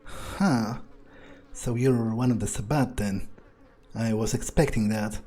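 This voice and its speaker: A man's voice: fairly low pitch, takes it slowly, mellow. A man playing a video game and commenting in character, thinking aloud with hesitant, dryly understated musing. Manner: hesitant musing, dry understatement, casual swearing. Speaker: video game player and host.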